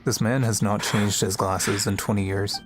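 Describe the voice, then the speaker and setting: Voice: deep voice, little pitch movement, moderate pace. A streamer giving casual livestream game commentary in a wry, offhand way.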